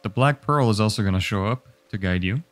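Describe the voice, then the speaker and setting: A young man with a fairly low voice narrates a game guide. He explains each step in an easygoing, instructive way, casual and unhurried.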